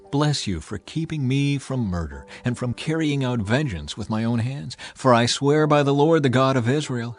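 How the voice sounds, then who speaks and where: A man voicing David, a young warrior leader who speaks with earnest vows, in a Bible reading with soft music behind. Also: medium pitch, close to an everyday voice. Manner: earnest, vowing.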